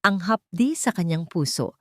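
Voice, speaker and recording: Female story narrator, lower register, dry close sound, dramatic scripted delivery. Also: big pitch swings, moderate pace, fairly bright tone, no room sound.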